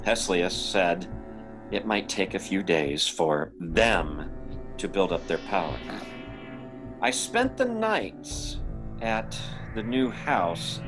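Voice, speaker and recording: An actor playing an anxious young man who narrates a supernatural horror tale in old-time radio style, with a halting delivery. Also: medium pitch. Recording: old-time radio drama with faint music.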